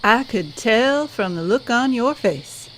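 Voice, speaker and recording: A woman's voice: on the high side, lively intonation, slow, fairly bright tone, a little hiss or hum, rough recording. A woman playing a motherly, no-nonsense band director in an audio drama, folksy and reassuring. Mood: caring. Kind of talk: audio drama character acting.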